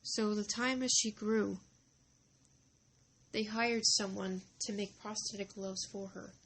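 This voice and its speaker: A woman storyteller narrates slowly in a creaky voice. She is informal and deliberate, halting often for dramatic pauses, with faint ambient noise behind her. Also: medium pitch, fairly even intonation, a bit bright.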